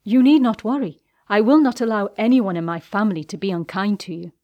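A woman narrator acts out a proud young gentleman of a period romance novel. He speaks in formal, declamatory phrases, ardent and intense, utterly sure of himself. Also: medium pitch, a little fast, dull audio, close to an everyday voice. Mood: assured, tender.

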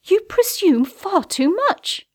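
A woman narrator performs a spirited young gentlewoman from a period romance. Her voice sits high, and she speaks formally and emphatically, with indignant firmness. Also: very animated, normal speed. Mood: suppressed anger.

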